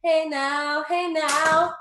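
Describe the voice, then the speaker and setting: A woman's voice: high voice, fairly even intonation, extremely slow, a bit bright, roomy sound. A young woman from Russia with an American accent doing a chatty, profane stand-up set, laughing at her own jokes. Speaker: stand-up comedian.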